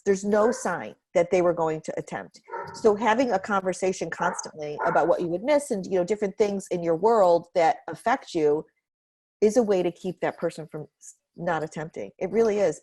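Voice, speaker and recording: A woman's voice: fairly low pitch, darkish tone. A woman hosting a casual, heartfelt video-call conversation from home, informal and earnest, repeating phrases for emphasis. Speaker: host.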